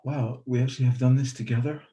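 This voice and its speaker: A man with a smoky, raspy voice and a hybrid British-Australian accent, co-hosting a casual podcast chat with dry, teasing remarks. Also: medium pitch, fairly even intonation, quick pace, dark tone, echoey room. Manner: dry, teasing, drops into playful French. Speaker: podcast co-host.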